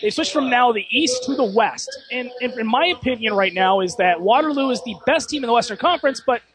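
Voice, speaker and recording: A man's voice: very high-pitched, expressive, low-quality recording. A man hosting a hockey radio broadcast and chatting with his guest, asking long questions while losing his voice. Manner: quick, asks long multi-part questions.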